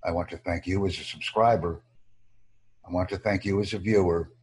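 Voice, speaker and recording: A man teaching an online English lesson, speaking English natively in slow, formal, measured short phrases. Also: deep voice, steady tone, a little mellow, slight background noise, rough recording. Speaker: English tutor.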